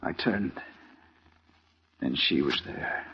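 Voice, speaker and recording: A man's voice: extremely low pitch, lively intonation, takes it slowly, slightly rough, full character voice. An actor playing a driven, hard-boiled male investigator in a vintage radio noir drama, urgent and clipped, telling his own story. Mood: brooding, intense. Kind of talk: 1950s radio noir acting with first-person narration. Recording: noisy, muffled vintage radio recording.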